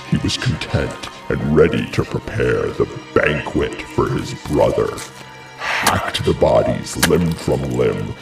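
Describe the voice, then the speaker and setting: An actor playing a grave messenger in a classical tragedy, declaiming horrors in portentous, deliberate verse with a gravelly voice. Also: male voice, a bass voice, full character voice. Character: grave male messenger recounting a horrific crime. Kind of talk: solemn theatrical verse declamation. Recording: roomy, distant voice under music.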